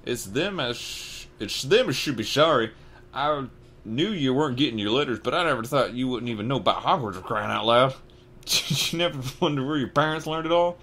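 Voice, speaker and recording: A man voicing a huge, gruff half-giant groundskeeper who talks loud and raised, with rough rustic speech. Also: medium pitch, animated, brisk, pitched above the performer's own voice. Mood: outraged.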